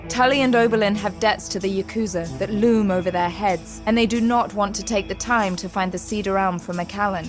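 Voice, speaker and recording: A woman narrator with a mid-pitched voice over clearly audible music. She reads a scripted audio drama in measured, dramatic fashion.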